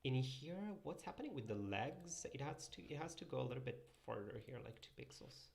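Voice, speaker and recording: Gravelly Italian man chatting while drawing. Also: medium pitch, animated, brisk, darkish tone. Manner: rambling, thinks aloud, reads and answers chat. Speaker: streamer drawing pixel art. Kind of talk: casual live-stream chat while drawing.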